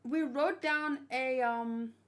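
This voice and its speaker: A woman's voice: high voice, slow. A woman hosting a read-along, giving casual, emotive commentary in a conversational way that often trails off mid-thought. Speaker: host of a manga read-along. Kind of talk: casual reaction commentary, reading aloud.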